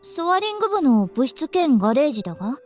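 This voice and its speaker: High-voiced, eccentric anime schoolgirl. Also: sing-song, racing, soft background music. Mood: matter-of-fact. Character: eccentric, earnest, absent-minded female senior student. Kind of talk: Japanese visual novel voice acting.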